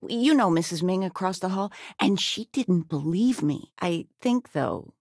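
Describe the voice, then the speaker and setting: A chatty retired mom from a sci-fi video game, doting on a grown child and gently teasing. Her voice sits a little low with a crackly fry and swings widely in pitch. The recording is dry and close. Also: unhurried, dry recording, full character voice. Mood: proud, delighted. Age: older. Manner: affectionate, teasing, expressive. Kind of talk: video game character dialogue.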